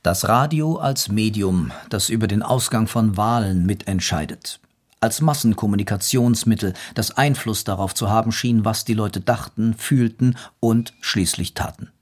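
A man's voice: lowish voice, moderate pace. A man reading scripted narration for a radio documentary.

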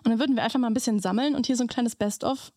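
A woman's voice: fairly high pitch, fairly even intonation, very fast, mellow, no room sound, clean studio sound. A woman co-hosting a podcast in casual, playful banter with wry interjections. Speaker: podcast co-host.

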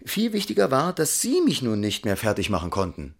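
A man's voice: mid-range voice, wildly swooping pitch, brisk, husky, exaggerated voice. An actor playing a defensive grown man recalling his boyhood in a conversational radio drama voice.

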